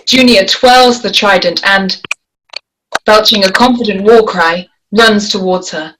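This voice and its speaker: A woman's voice: mid-range voice, a little slow, a bit bright, low-quality recording. A woman narrating scripted action and stage directions at a screenplay table read. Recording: faint background noise.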